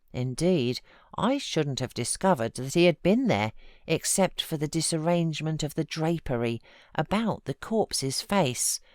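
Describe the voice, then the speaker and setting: Slow-paced British woman narrating. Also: sits low, dry recording, studio quality. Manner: expressive, measured storytelling. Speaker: audiobook narrator, voiceover artist. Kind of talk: scripted literary narration.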